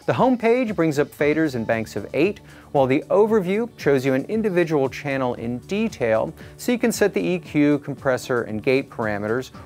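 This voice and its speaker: A man's voice: fairly high pitch, a little mellow. A middle-aged man reading a scripted product review as the presenter. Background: background music.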